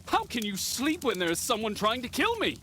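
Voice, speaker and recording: An actor playing an excitable, self-concerned gentleman in a video game, with a theatrical, fretful manner. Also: very high-pitched, brisk, slight background noise, exaggerated voice. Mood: alarmed, indignant. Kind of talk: dramatic video game dialogue.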